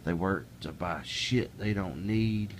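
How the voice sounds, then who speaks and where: A man's voice: deep voice, takes it slowly, a little hiss or hum. A middle-aged man talking casually to camera in a plainspoken, folksy way, building into an exasperated rant. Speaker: vlogger. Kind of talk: casual ranting monologue.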